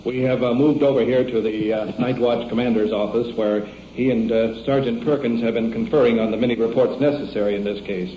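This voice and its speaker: A man's voice: mid-range voice, steady tone, a little fast, few pauses. An American man reporting on location with police as a 1950s radio documentary narrator, hesitantly piecing his account together as he goes. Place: on location with police, vehicle and ambience noise. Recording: muffled, very noisy 1950s field radio recording.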